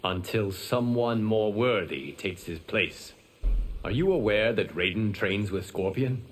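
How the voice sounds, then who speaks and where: An actor playing a stern, wise lord and mentor with calm authority in video game dialogue. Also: male voice, fairly low pitch, a little slow, close to an everyday voice. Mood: stern, solemn. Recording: faint background noise.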